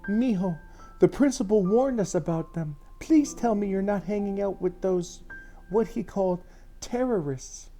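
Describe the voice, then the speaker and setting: A woman's voice: mid-range voice, a little slow, mellow, pitched above the performer's own voice, lightly acted. A man voicing an anxious, fiercely protective mother in an audiobook story, with music underneath. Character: anxious, protective mother, fiercely loving, warns her husband.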